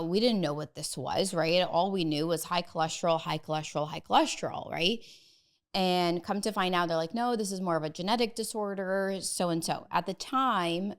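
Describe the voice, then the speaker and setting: A woman's voice: fairly low pitch, fairly bright tone, clean tone. A woman in her thirties whose first language is Russian, a dietitian guest on a podcast, telling her own story earnestly and thinking aloud with many fillers. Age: 30s. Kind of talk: podcast interview, personal story.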